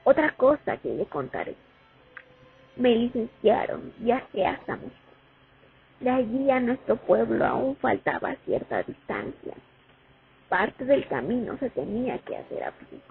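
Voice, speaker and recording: A woman playing a war veteran who recalls her years at the front in an expressive radio play monologue. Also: a little high, measured pace, gravelly, slightly rough, exaggerated voice. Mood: reminiscing. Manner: reminiscing, expressive, dramatic. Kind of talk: radio play monologue, first-person testimony. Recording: tinny phone-like sound over music. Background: music.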